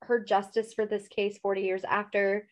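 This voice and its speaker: A woman's voice: medium pitch, flat delivery, normal speed. A woman co-hosting a true-crime podcast, chatting casually with a stuffy nose, rambling with lots of fillers and laughs. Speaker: podcast co-host.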